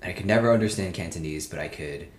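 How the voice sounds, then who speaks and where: Laid-back male host, very low voice, rambling delivery; echoey room with faint noise. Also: fast. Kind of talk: casual chat.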